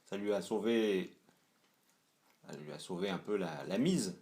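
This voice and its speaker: A man's voice: low voice, unhurried, darkish tone. A man in casual conversation with his mother, prompting her and filling in details and dates. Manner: prompting, fills in details, adds history facts. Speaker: son interviewing his mother.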